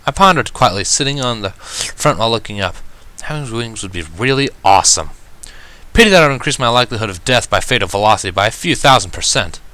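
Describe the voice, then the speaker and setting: Bright-voiced man reading a story. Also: lowish voice, expressive, quick pace. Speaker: reader of fan fiction. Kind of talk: expressive first-person story narration. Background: background music.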